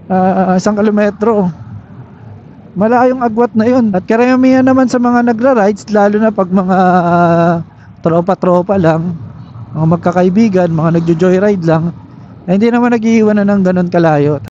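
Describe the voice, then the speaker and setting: A Filipino man with a high-pitched voice talks casually through a product review, explaining and checking in with the viewer. His helmet intercom sounds dull, with faint vehicle noise behind him as he rides. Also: moderate pace, mellow. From Philippines. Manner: explanatory, with frequent tag questions. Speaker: motovlogger reviewing gear. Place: on a moving motorcycle, inside a helmet. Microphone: helmet intercom microphone.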